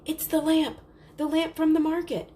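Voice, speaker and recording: Woman voicing an excitable, breathless little girl. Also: high voice, moderate pace, slight background noise, voice pushed up, close to an everyday voice. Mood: thrilled. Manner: breathless, gushing, urgent. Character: excitable, eager young girl, little sister.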